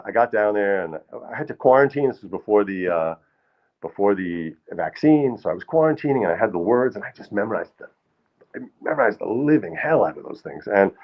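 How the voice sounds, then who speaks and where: Middle-aged guy rambling thru funny stories on stage, lowish voice, kinda dull lo-fi sound. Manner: rambling, self-deprecating, many restarts. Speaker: storyteller performer. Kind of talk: live comedic storytelling. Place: small hall with live audience.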